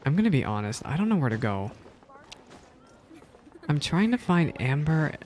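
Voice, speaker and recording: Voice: mid-range voice, very animated, normal speed, darkish tone, a little hiss or hum. A gaming streamer giving casual, informal reaction commentary, often exclaiming and repeating words. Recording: voice over game music. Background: video game music.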